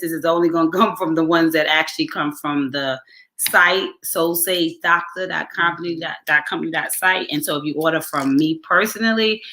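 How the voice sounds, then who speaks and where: Chatty, bright-voiced woman storyteller. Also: sits low. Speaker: co-host and author. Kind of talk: casual livestream chat.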